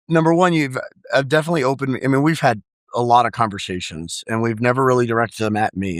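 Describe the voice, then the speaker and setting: A man's voice: lowish voice, a bit bright, no room sound. A young man hosting a casual podcast conversation, talking in an enthusiastic, chatty way with lots of fillers. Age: young adult. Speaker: podcast host. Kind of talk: casual chat.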